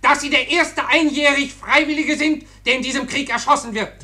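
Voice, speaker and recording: An actor playing a cheeky, gleeful young volunteer soldier under arrest in a radio play, telling things as an amused, animated storyteller. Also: male voice, very high-pitched, fairly quick, full character voice. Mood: gleeful, mocking. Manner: animated storyteller, mimicking a shouting colonel, amused. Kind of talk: German radio play dialogue.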